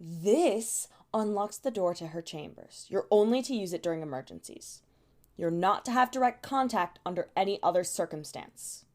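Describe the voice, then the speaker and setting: A woman playing a firm, businesslike royal household superior who gives orders deliberately in an audio drama. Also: medium pitch, lively intonation, close to an everyday voice. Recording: music behind the voice.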